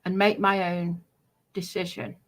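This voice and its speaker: A woman's voice: lowish voice, flat delivery, a little slow. A woman talking informally, making a complaint.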